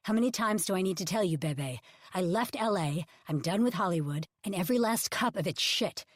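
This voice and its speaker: A woman's voice: on the low side, lively intonation, fairly quick, no echo, clean studio sound. A woman voicing an angry former Hollywood star, a man who growls his blunt, thunderous replies.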